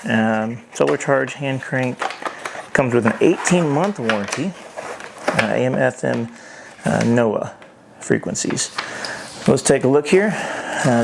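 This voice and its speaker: A man talks through a hands-on review in an unscripted, halting fashion, as if working things out aloud. His medium-pitched voice has a noticeable creaky fry. Faint noise and small clicks sit behind him. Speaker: product reviewer.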